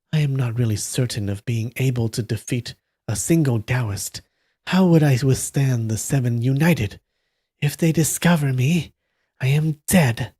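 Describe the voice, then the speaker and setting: A man's voice: medium pitch, darkish tone, slightly rough. A man voicing a wary, blind martial-arts witch brooding to herself in a storybook. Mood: anxious. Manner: brooding, suspicious inner monologue.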